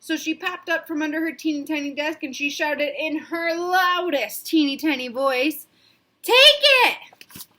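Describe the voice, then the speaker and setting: A woman with a high voice reads aloud to young children. Her storytelling is expressive and interactive, pitched to draw little listeners in. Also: moderate pace, few pauses.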